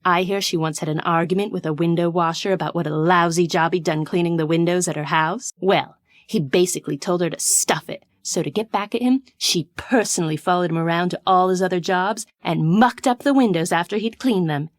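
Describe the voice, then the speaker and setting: A woman's voice: fairly low pitch, a little fast, dry recording, studio quality, natural voice. A woman playing a gossipy, confiding salon guest in a video game, chatting conversationally. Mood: gossiping. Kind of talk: scripted game voice acting, storytelling chat.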